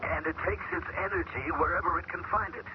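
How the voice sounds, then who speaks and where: An actor playing a man taken over by an alien mind, who talks calmly and measures his words in an old radio drama. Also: fairly high pitch, close to an everyday voice. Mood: eerie. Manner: calm, measured, searching for words. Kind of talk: 1970s radio drama acting. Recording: dull old radio broadcast with loud hiss.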